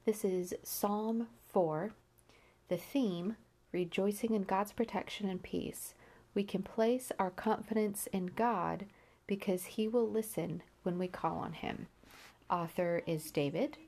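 A woman's voice: mid-range voice, slow, a little mellow. A woman with a stuffy nose from allergies, hosting a Bible podcast, reading scripture aloud and then reflecting on it earnestly, with frequent restarts.

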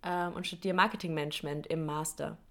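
A woman's voice: low voice, fairly even intonation, a little fast, a bit bright. A 24-year-old woman chatting casually as the co-host of a podcast.

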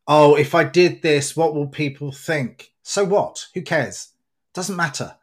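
Man with a slightly high voice, earnest. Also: measured pace, breathy edge. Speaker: podcast host. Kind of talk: reflective interview conversation.

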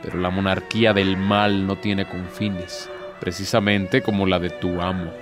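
A man's voice: deep voice, level tone, measured pace. Guy doing a bitter proud devil in a radio play, sarcastic n theatrical, bit of music behind. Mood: proud, defiant. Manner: theatrical, rhetorical, sardonic.

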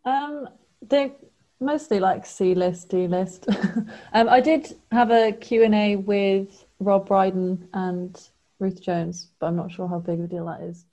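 A woman's voice: medium pitch, fairly even intonation, takes it slowly, dark tone, low-quality recording. A woman from Wales chatting casually as a podcast guest in an understated, hesitant manner. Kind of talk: casual chat.

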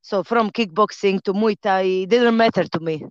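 A woman in her thirties from Croatia with a Croatian accent, a professional fighter and trainer chatting as a podcast guest, relaxed and quick to laugh, pausing often on "uh". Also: medium pitch, no echo, lo-fi sound. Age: 30s. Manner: relaxed, laughs easily, many "uh" pauses in English. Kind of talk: casual interview chat.

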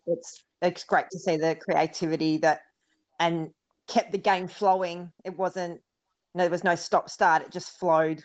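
A woman co-hosting a podcast, rambling through her thoughts with lots of "you know" and "like". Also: fairly low pitch. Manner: rambling, many fillers. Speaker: podcast co-host. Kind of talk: casual podcast chat. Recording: dull lo-fi sound.

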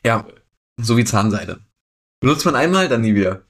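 A man's voice: mid-range voice, sing-song, normal speed, husky. A man in his twenties chatting casually as a podcast co-host. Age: 20s. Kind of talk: casual chat.

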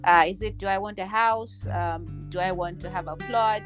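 A woman in her thirties from Malawi, a finance executive, explaining things at a measured pace as a podcast guest, with many ums and uhs. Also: medium pitch, over music. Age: 30s. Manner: measured, explanatory, with frequent fillers. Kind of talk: podcast interview.

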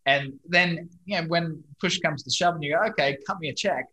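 A man's voice: a little high, a little fast, sharp tone, poor audio. An Australian man in his thirties, a guest telling stories in a casual interview. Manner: chatty, storytelling, mild swearing. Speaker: guest, digital marketing company owner.

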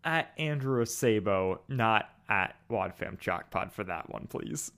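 A man's voice: lowish voice, animated, measured pace, vocal fry, fairly bright tone. A young man co-hosting a casual podcast, chatty and joking. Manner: chatty, with frequent ums and jokes. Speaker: podcast co-host.

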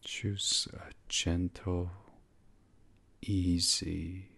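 A man leading a guided sleep meditation in a hushed, breathy, unhurried voice that often slips toward a whisper, soothing and instructional. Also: low-pitched, little pitch movement.

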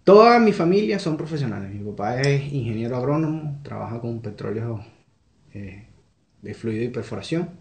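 A man's voice: mid-range voice, animated, moderate pace, vocal fry. Venezuelan guy in his 20s talking about home, sincere, kinda echoey room. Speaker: interviewee. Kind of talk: conversational interview.

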